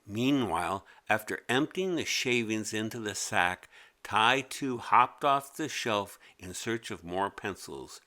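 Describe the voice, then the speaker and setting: A man narrating a children's story as a read-aloud, expressive and deliberate. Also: fairly low pitch.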